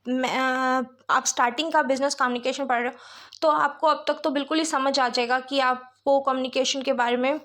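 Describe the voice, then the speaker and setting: A woman's voice: high voice, a bit bright. A woman with an Indian accent teaching a lesson, reading out points and explaining them with frequent little check-in phrases. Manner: mixes Hindi with English terms, often checks understanding with tag phrases.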